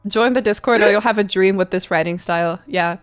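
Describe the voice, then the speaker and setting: A woman's voice: mid-range voice, brisk, smooth, soft background music. A woman streamer reading fanfiction aloud in a mock-serious comic style, mixed with casual asides.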